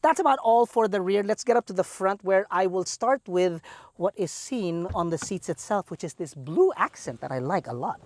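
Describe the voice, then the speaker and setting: A man's voice: high-pitched, expressive, normal speed. A man with a Filipino English accent hosting a car review walkaround, chatty and playful with enthusiastic asides.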